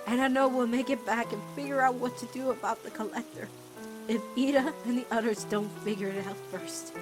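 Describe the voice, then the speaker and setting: A woman voicing a devoted, anxious teenage witch girl in an animated-style comic dub, earnest and halting in delivery. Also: fairly high pitch, music in the background, natural voice. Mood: earnest, pleading.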